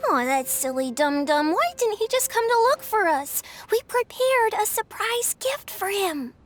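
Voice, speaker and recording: A woman voicing playful, excitable cute girls in an animated video game dub. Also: extremely high pitch, measured pace, exaggerated voice. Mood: surprised. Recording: loud music behind. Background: game music.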